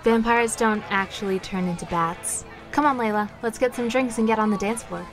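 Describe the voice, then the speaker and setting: A woman playing a confident, reassuring young witch in an audio drama, self-assured and smooth-talking. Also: mid-range voice, normal speed, soft background music, a faint crowd, lightly acted.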